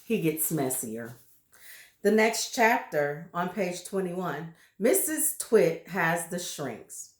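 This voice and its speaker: A woman reading a children's story aloud, expressive and pausing now and then to chat about the pictures. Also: on the low side, echoey room. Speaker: reader.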